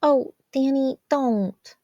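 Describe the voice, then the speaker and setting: A woman's voice: sits high, extremely slow, dark tone, no room sound, lo-fi sound, pitched above the performer's own voice. A woman voicing a worried, exasperated teenage older sister in a story, chiding and emphatic.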